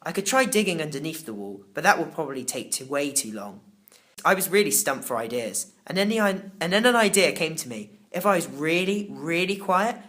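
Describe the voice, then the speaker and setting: A man narrating a children's novel in the first person, expressive and theatrical. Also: on the high side. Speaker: audiobook narrator.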